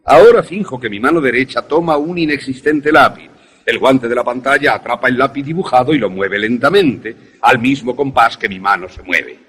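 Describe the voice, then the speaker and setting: A man acting an enthusiastic professor in a radio drama, lecturing in a deliberate, didactic, theatrical way. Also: mid-range voice, fairly bright tone, slightly rough. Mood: eager, instructive. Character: enthusiastic male professor demonstrating an invention to students.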